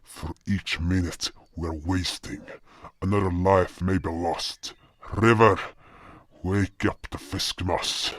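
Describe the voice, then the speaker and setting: A man voicing an enormous, gruff, impatient beast companion in an audio fantasy drama. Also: low-pitched, steady tone, takes it slowly, fairly bright tone, no echo, pitched below the performer's own voice, exaggerated voice. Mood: brisk, impatient.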